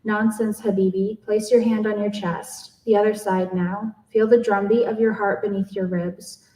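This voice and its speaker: A woman's voice: medium pitch, level tone, normal speed, mellow, lightly acted. A woman voicing a loving, reassuring grandmother in a story reading. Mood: tender, insistent. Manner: tender, reassuring. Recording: echoey room, distant microphone.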